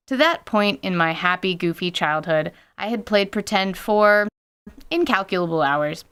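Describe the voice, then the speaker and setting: A woman's voice: lowish voice, fairly bright tone, clean tone. A woman narrating a scripted personal story with wry, casual asides and light swearing. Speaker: podcast host.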